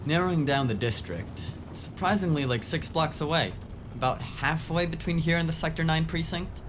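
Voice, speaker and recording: A man's voice: a little high, moderate pace, background noise, close to an everyday voice. A man playing a grumbling, sarcastic tech whiz in a cyberpunk audio drama, talking in a casual, rambling way. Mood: wry. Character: grumbling, foul-mouthed, sarcastic male tech whiz driver.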